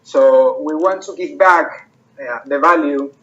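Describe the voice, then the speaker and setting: A man, the project owner, talking about his project in a casual interview and filling pauses with lots of "uh"s. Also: on the high side, a bit bright, highs cut off. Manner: hesitant, many fillers and restarts.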